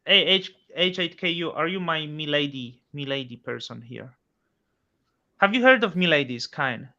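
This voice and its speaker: A man hosting a casual chat, asking probing questions in a slightly halting way. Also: fairly high pitch, animated, sharp tone. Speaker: host. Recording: muffled, dull sound.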